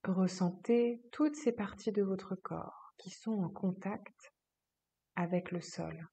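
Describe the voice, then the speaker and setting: A woman yoga teacher leading a guided relaxation meditation, speaking slowly and calmly in a soft, husky voice with long pauses. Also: mid-range voice. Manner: slow, calm, soothing instruction with long pauses.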